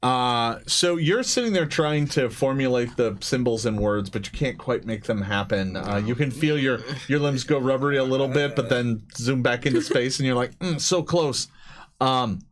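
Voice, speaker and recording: Male game master, medium pitch, casual improvised narration, pauses as he thinks.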